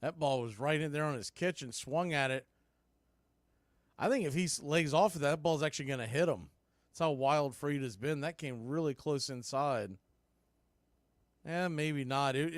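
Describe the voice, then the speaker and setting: A man's voice: on the high side, normal speed, no echo, clean studio sound. A man doing conversational, folksy live play-by-play baseball commentary.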